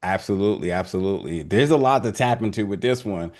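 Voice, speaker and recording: A man's voice: fairly low pitch, fast talker, darkish tone. A young man hosting a casual video interview, talking loosely with many restarts and fillers.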